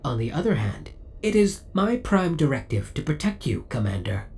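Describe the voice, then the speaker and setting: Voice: medium pitch, very animated, normal speed, gravelly, darkish tone. An actor voicing a possessive, devoted spaceship AI in an audio roleplay, speaking in a formal, precise, clinical and politely menacing way. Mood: coolly jealous. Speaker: voice actor playing a ship's AI. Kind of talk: scripted ASMR audio roleplay acting.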